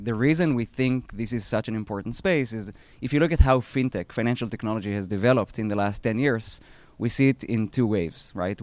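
A man in his thirties with an Israeli accent, a startup founder giving a live talk at an outdoor event, halting with many fillers and restarts. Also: lowish voice, no echo.

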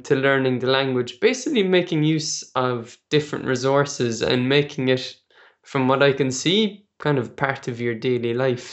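An Irish man hosting a podcast, asking questions in a relaxed, unhurried way with frequent hesitations. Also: a little high. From Ireland. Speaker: podcast host. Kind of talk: casual interview chat.

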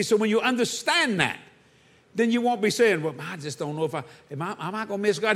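Bright high-voiced preacher man. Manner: call-and-response preaching, rhetorical questions to the congregation. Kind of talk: sermon. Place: church.

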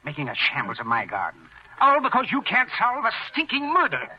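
A man's voice: high voice, wildly swooping pitch, fairly quick, husky, heavily acted. A man acting a fussy, haughty, whining florist shop owner in an old radio detective drama. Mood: fussy, outraged. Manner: haughty, whining, precious, exclamatory. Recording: muffled old 1950 radio recording.

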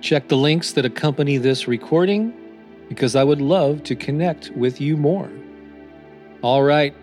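A man reading scripted motivational affirmations as a podcast host, in a deliberate, encouraging manner. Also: a little high, a little mellow. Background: new-age music.

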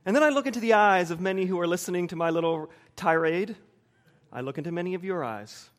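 An American man in his forties preaching a sermon with personal anecdotes, measured, with emphatic pauses and repeated phrases. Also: high-pitched. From United States, suburban Wilmington. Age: 40s.

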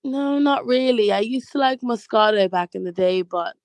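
A young woman from Bermuda, a stage actress and guest on an interview podcast, talking in a relaxed, chatty way with a slightly hoarse voice. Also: on the high side, moderate pace, no room sound. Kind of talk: casual interview chat.

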